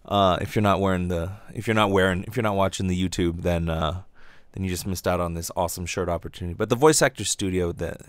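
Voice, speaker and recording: A man with a low voice talks off the cuff on his podcast, recorded dry with very little room sound. He rambles casually, doubling back on his words in stammered false starts, and swears freely. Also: fairly even intonation, fast talker, clean tone, no room sound. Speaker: podcast host and aspiring voice actor.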